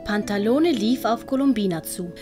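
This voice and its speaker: A woman's voice: mid-range voice, expressive, moderate pace, over music. A woman with a Swiss German accent reading scripted story narration for an audiobook.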